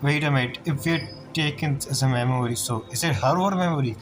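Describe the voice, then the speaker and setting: Male gamer commentating over game music. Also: medium pitch. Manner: halting, non-fluent phrasing. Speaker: gamer, let's-play commentator. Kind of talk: casual game commentary, reading dialogue aloud.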